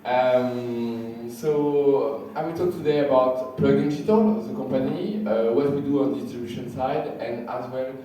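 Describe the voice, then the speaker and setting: A man from France with a French accent, slightly sick and losing his voice, giving a conference talk with halting, repeated words. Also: mid-range voice, steady tone, mellow. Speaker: conference speaker. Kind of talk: conference presentation. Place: large hall. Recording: echoey hall from far off, faint hiss.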